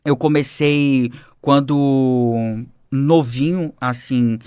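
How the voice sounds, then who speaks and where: A man telling his life story as a podcast guest, animated and acting out the people he quotes, on a studio mic. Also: mid-range voice, drawn-out words, smooth, no room sound. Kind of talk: personal storytelling in a podcast interview.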